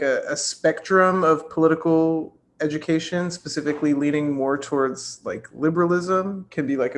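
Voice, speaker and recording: A man's voice: on the high side, slight background noise. A man hosting a casual group discussion, thoughtfully posing open questions to the others. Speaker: podcast discussion host.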